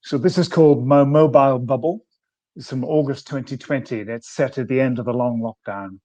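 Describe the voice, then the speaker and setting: A man reading his own poem in a measured way, pausing between phrases as he lists images. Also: medium pitch, dark tone. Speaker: poet. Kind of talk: poetry reading.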